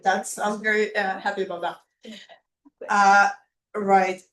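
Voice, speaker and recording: A woman's voice: medium pitch, little pitch movement, measured pace, fairly bright tone. Woman giving a conference talk, lots of uhs and ums, echoey room. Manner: hesitant, full of fillers. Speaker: conference presenter. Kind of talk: academic presentation.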